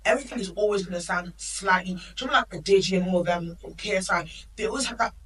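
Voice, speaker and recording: A woman's voice: medium pitch, fairly bright tone, recorded from a distance. A woman of Nigerian heritage, co-hosting a podcast in emphatic, opinionated casual chat. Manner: opinionated, emphatic, quick asides. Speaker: podcast co-host.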